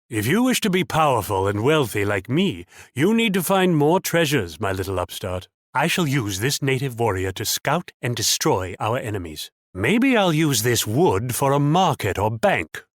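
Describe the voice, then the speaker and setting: An actor playing a proud, wealthy military ruler in a video game, pompous and condescending in a theatrical way. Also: male voice, medium pitch, a bit bright, dry recording, clean studio sound. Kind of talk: video game character voice lines.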